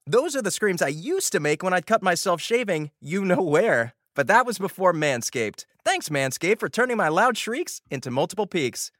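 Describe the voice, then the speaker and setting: Guy with a high bouncy voice doing a comic ad read, dry close sound. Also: sing-song, normal speed, hardly any pauses, fairly bright tone, dry recording. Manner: playful, jokey delivery. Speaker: ad reader.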